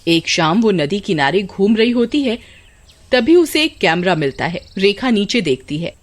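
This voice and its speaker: Female narrator for scripted horror tales, mid-pitched, very animated delivery. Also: normal speed. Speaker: story narrator. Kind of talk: scripted dramatic narration of a Hindi horror story.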